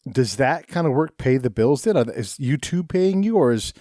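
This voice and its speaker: Relaxed, chatty male podcast host. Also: medium pitch, normal speed, dry recording, studio quality. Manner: relaxed, chatty, laughs easily. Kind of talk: casual interview chat.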